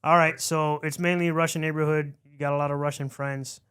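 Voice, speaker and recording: A man's voice: on the high side, level tone, fairly quick, fairly bright tone, smooth. A man from Miami hosting an interview, chatty and curious. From grew up in Miami among Cuban families. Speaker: podcast host. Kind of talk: casual interview questions.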